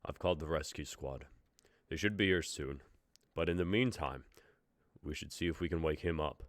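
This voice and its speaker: Dova, a cautious and practical male fighter, read by a man with a low voice and dry, close sound. He speaks plainly. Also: normal speed, dry recording.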